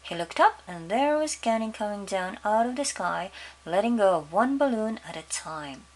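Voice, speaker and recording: A woman storyteller reading a children's story aloud, pausing to ask her young listeners questions. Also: mid-range voice, lively intonation, rough recording.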